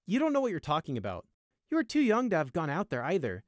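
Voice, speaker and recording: An earnest, disapproving pony royal guard acted by a man in an audiobook story. The voice sits high and moves with animated, assured conviction, lecturing as it goes. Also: quick pace, no echo, studio quality. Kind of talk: audiobook character acting.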